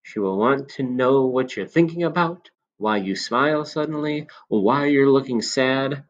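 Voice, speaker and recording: A man voicing a sly elderly male potion seller who coaxes with smooth, insinuating persuasion. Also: mid-range voice, measured pace, dull audio, voice pushed up, natural voice.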